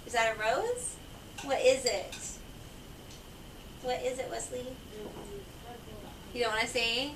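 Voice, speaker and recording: High-voiced woman storyteller, echoey and distant. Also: steady tone, slow, long pauses, a bit bright. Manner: prompts listeners with questions. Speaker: reader of a children's picture book. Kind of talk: read-aloud storytelling to children. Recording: echoey, noisy room, far from mic.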